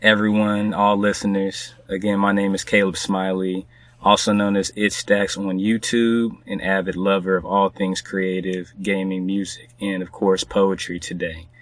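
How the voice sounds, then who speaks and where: A man's voice: low voice, dry recording. A man speaking casually and conversationally as a guest on a podcast.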